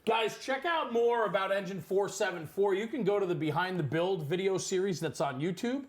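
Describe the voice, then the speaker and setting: A man's voice: high-pitched, moderate pace. A middle-aged man hosting a casual on-location interview in an effusive, encouraging manner. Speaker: interviewer, host. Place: firehouse kitchen.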